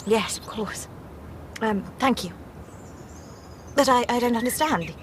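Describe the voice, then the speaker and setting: A young woman engineer in a radio play. Her voice is fairly high and guarded, over faint noise. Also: lively intonation, measured pace. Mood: shaken, questioning.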